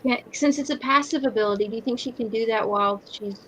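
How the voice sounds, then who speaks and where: A woman's voice: on the high side, fast. A woman playing in a roleplaying game, casually and tentatively asking questions about what her character can do. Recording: dull, muffled online voice chat.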